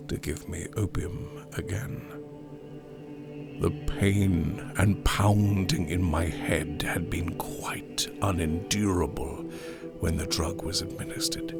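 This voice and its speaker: A man performing a haunted survivor in a dramatic gothic horror audiobook, grave and theatrical, with hushed near-whispered phrases. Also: low-pitched, exaggerated voice. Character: haunted male survivor of an opium overdose, recounting horror. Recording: studio narration over music.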